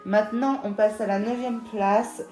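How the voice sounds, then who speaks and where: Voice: medium pitch, fairly even intonation, normal speed, a little mellow, faint music behind. Matter-of-fact co-host, echoey room. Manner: matter-of-fact, reads out rankings. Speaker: co-host of a ranking video. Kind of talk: casual scripted chat.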